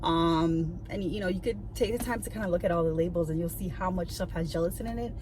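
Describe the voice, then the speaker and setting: A young woman with a raspy voice chatting casually with many fillers for her vlog while out driving and shopping. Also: on the low side, fast. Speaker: vlogger, stay-at-home mom. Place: in a car on the road, then in stores. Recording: loud car and road noise. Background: traffic and car noise.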